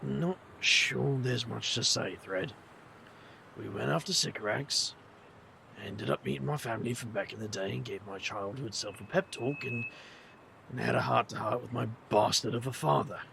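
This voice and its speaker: An actor playing a weary, sardonic adventurer with a dry manner in an audio drama. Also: male voice, mid-range voice, normal speed, vocal fry, pushed and bright, a little hiss or hum. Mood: weary, troubled. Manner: dry, world-weary, sarcastic. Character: weary, sardonic supernatural adventurer with family baggage.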